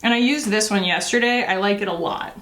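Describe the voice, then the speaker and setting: A woman in her thirties talks through a makeup review in a loose, rambling way, full of fillers and self-deprecating jokes. Her voice sits at an ordinary middle pitch. The room around her is roomy and echoey. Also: fairly quick, a bit bright. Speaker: beauty vlogger. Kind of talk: casual chatty makeup review.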